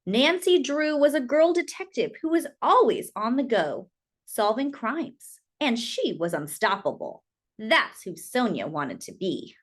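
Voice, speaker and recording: A woman reading a children's picture book aloud as a storyteller, with expressive, deliberate phrasing and dramatic emphasis. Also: a little high.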